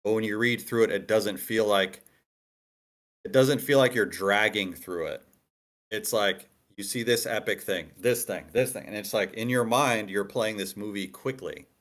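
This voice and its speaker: Male, fairly low register. Casual, emphatic writer giving feedback in an online group. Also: normal speed, a bit bright. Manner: emphatic, explains at length with repetition. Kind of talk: casual group discussion of scriptwriting. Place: online meeting room.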